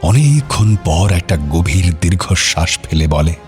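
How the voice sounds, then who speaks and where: A man's voice: low-pitched, expressive, a little slow, faint music behind. A man narrating an audio story in a solemn, literary and dramatic way.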